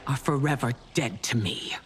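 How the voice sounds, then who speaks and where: An actress playing a proud, stern empress in a video game, speaking in a formal, commanding, imperious way. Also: female voice, rumbling low, moderate pace. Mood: defiant, stern. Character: proud, stern warrior empress, regal and wounded. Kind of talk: dramatic video game acting. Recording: loud cinematic music behind.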